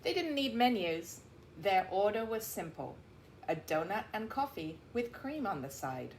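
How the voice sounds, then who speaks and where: A woman reading a picture book aloud like a teacher, with deliberate, emphatic phrasing and explanatory asides, in a roomy recording. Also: medium pitch, very animated. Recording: roomy echo, faint background noise.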